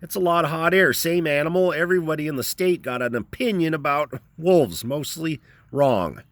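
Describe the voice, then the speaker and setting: A man's voice: on the high side, moderate pace, no echo, pitched above the performer's own voice, natural voice. A man voicing an opinionated middle-aged rural man who rambles in folksy, ungrammatical speech. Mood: rambling. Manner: folksy drawl, ungrammatical, long-winded.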